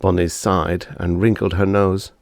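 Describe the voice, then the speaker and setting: A man's voice: low-pitched, level tone, measured pace, no room sound, studio quality. A man reading a short story aloud as scripted audiobook narration.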